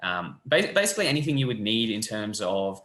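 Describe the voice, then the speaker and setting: A young man, a physiotherapy lecturer explaining the course in a relaxed, friendly way over a video call. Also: on the low side, brisk. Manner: relaxed, friendly, loose fillers. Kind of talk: casual explanatory chat. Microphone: webcam on a video call. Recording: echoey room.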